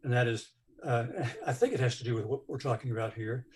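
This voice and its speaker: Hesitant bass-voiced man sharing. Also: fast, a little mellow. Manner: hesitant, many fillers. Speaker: group participant sharing. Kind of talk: casual personal sharing.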